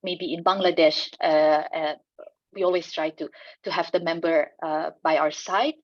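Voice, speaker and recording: Indonesian-accented woman panelist, low pitch, hesitant and full of fillers, dry and dull audio. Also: fairly even intonation, no room sound. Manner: halting, many fillers and restarts, light laughs. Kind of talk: conference panel answer.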